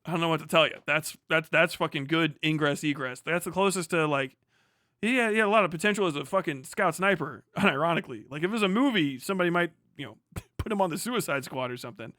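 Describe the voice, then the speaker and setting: A man's voice: on the high side, fast, sharp tone, no room sound, studio quality. A middle-aged man giving casual, rambling reaction commentary as a livestream host, full of slang and swearing. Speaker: livestream host, former Marine.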